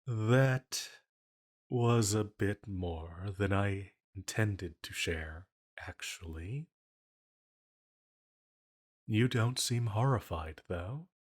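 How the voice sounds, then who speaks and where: An ancient villain from an audio drama , acted by a man, speaking in a low register. He is elegant and menacing with a fond streak, and takes his time over every formal phrase, leaving deliberate pauses. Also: lively intonation, exaggerated voice. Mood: weary, musing, then curious, teasing. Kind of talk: scripted intimate audio roleplay.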